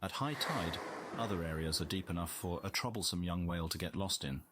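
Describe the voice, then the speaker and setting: A man reading a visual novel aloud as scripted narration, in a measured, documentary-style storytelling manner. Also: low-pitched, background noise. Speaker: video game playthrough host.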